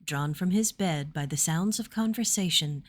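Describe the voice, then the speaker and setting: A woman narrates a fantasy story in a fairly low voice. She reads from a script as the storyteller. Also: normal speed.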